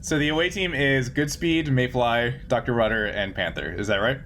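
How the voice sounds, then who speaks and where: A man improvising narration as a tabletop game master, piecing descriptions together haltingly. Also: mid-range voice, a bit bright, slight background noise.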